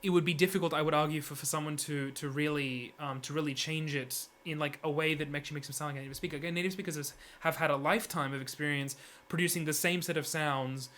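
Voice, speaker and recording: A man giving an unscripted, analytical opinion monologue, halting often and correcting himself as he works through his argument. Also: a little high, steady tone, a bit bright, slight background noise. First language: English, heritage speaker of Serbian. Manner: hesitant, halting, frequent self-corrections.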